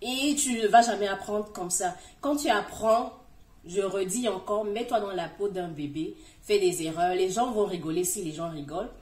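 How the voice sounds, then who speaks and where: Woman, middle-aged, encouraging advice-giver, talks straight to viewers, loud-to-soft emphasis, recorded at a distance from the mic. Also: medium pitch, normal speed, echoey room. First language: French. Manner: encouraging, addresses the viewer directly, emphatic swings in loudness. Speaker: YouTuber giving language-learning tips. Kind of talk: direct-to-camera advice video.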